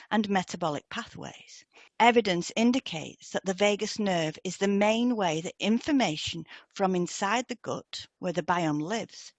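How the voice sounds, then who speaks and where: Woman, co-presenter, medium pitch, scripted lesson delivery, deliberate and measured, dry recording. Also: dry recording.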